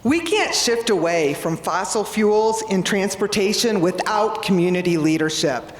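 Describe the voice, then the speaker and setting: A man giving a measured, prepared civic presentation. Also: sits high, animated. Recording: roomy hall reverb, faint noise.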